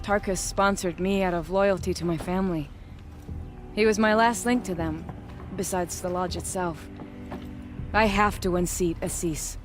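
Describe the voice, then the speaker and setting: A woman's voice: on the low side, measured pace, lightly acted. An actress playing a proud, determined young noblewoman hunter in a video game, speaking with formal resolve. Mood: grave resolve. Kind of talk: cinematic video game acting. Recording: music behind.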